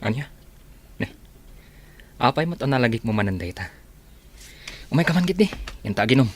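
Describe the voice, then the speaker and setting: A man's voice: mid-range voice, sing-song, normal speed, husky. An actor playing an easygoing, chummy male friend who is lonely in love, in a radio drama. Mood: curious. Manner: casual, chummy.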